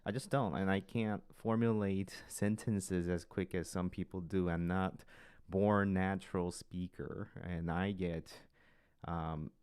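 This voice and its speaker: Man, Korean accent, Korean as first language, talk show host, slow and hesitant, deep voice, smooth and clear. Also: steady tone, a little mellow, dry recording, clean studio sound. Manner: slow, hesitant, pauses to form sentences. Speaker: podcast host. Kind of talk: casual online talk show chat.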